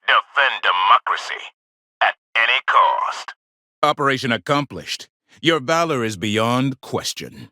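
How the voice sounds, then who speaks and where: An actor playing a solemn, zealous military democracy officer in a video game, speaking in stern, formal, grandiose oratory. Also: male voice, medium pitch, pushed and bright, no room sound, clean studio sound. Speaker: military officer and mission briefer. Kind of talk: scripted video game voice acting.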